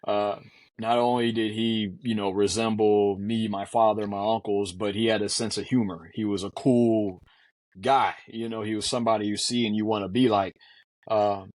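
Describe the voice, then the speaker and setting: A man in his forties from Houston talks through his past in an earnest, measured way, stopping on little fillers. His voice sits on the lower side. The sound is close and dry, with no room around it. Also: fairly even intonation, a little mellow, no echo. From Houston, Texas. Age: 40s. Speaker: physician guest. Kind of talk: reflective conversational storytelling.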